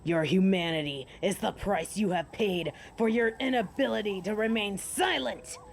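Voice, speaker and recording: A woman's voice: mid-range voice, natural voice. A woman playing a proud, sardonic female werewolf alpha in a theatrical fantasy audio drama. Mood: stern, commanding. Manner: haughty, theatrical, deliberate. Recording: clear background noise and ambience.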